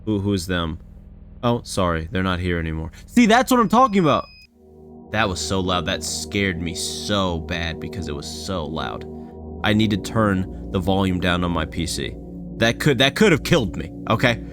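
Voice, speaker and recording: Casual male reaction commentator, animated and rambling, speaking over prominent background music. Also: low-pitched, normal speed. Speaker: reaction video creator. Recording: loud music under the voice. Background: background music from games.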